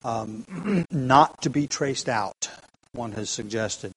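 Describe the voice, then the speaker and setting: A man's voice: mid-range voice, expressive, takes it slowly, a bit bright, breathy edge, low-quality recording. A man preaching an expository sermon, earnest and emphatic, often restarting phrases.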